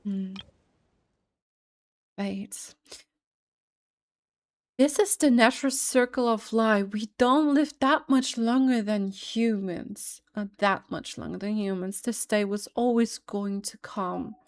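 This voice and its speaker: A woman's voice: mid-range voice, slow pace, no room sound. A woman casually commenting on a game playthrough, musing and repeating the dialogue aloud. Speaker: gameplay commentator.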